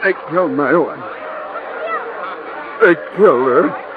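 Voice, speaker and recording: A raw, distraught widower in vintage radio drama. His voice is highish and anguished, heard thinly through clear crowd noise. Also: expressive, slow pace, phone-quality sound. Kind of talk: 1940s-50s radio drama acting. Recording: noisy, thin old radio recording.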